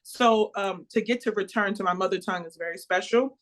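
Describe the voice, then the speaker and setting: American woman, opera singer, earnest and emphatic, mid pitch. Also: a little fast, fairly bright tone. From United States. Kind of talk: interview.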